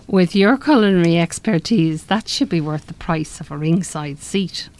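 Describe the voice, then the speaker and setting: An actress performs a sensible Irish woman in a radio play. Her voice sits a little low, and she speaks articulately, with dry sarcasm and frank, pointed remarks. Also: normal speed, darkish tone, lightly acted. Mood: dry, teasing. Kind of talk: live radio play acting.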